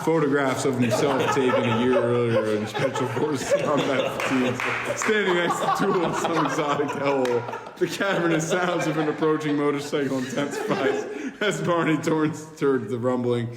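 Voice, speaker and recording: A man's voice: mid-range voice, moderate pace, a loud crowd. A man reading screenplay directions aloud in plain, amateur narration at a podcast table read. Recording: echoey room, long reverb, background noise.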